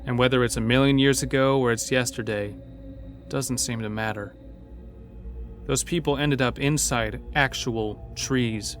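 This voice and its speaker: A man's voice: medium pitch, fairly even intonation, unhurried, soft background music. A man narrating a scripted first-person horror story as an uneasy logging worker.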